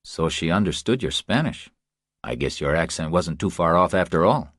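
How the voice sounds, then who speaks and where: A man voicing a gruff, cocky police sergeant in an audiobook, curt and needling in slangy talk. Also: sits low, animated, moderate pace, fairly bright tone, dry recording, clean studio sound. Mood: annoyed.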